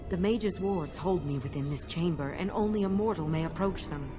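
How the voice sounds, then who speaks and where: A woman's voice: lowish voice, normal speed, lightly acted. A woman voicing a sly, possessive demon cat. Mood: bargaining. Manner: silky, possessive, coldly formal. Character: sly demon in the form of a talking cat, female. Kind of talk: video game cutscene voice acting. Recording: game audio with music behind.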